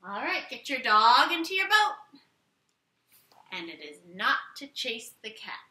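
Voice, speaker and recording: A woman reads a picture book to young children in a high, very bright voice. She is expressive and deliberate, taking her time and guiding listeners to play along. The sound is roomy, recorded in a living room a little way from the camera. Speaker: read-aloud teacher for young children. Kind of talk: animated children's story read-aloud with play-along prompts. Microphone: camera a few feet away.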